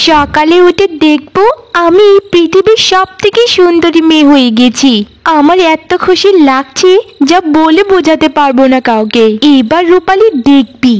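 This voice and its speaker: A woman as a high-pitched, greedy, bullying sister-in-law. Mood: gloating, excited. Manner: shrill, demanding, impatient. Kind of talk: melodramatic cartoon dialogue.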